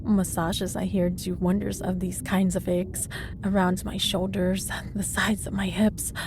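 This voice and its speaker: Smug, flirty villainess over noisy rumble played by a woman. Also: flat delivery, lightly acted. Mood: flirtatious, mock-sweet. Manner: teasing, mock-sweet, drawn-out smug delivery. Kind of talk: comedic audio roleplay banter.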